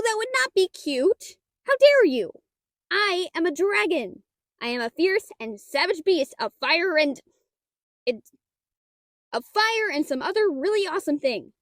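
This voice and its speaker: A woman playing a shy, nerdy young half-dragon librarian in an audio roleplay, flustered and halting, bookish and given to theatrical indignation. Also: high voice, no room sound, studio quality. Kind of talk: audio roleplay acting, addressed to the listener.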